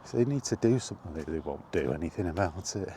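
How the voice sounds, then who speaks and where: A middle-aged man giving a casual, rambling chat as he walks outdoors, in an unhurried, offhand manner. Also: sits low, animated. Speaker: video game vlogger. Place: outdoors, walking toward a park. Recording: outdoor walking recording with background noise.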